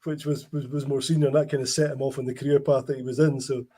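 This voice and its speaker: Male host, middle pitch, chatty, rambling, lots of restarts. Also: level tone, fast, warm tone, breathy edge. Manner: rambling anecdotes with frequent restarts. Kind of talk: casual chat.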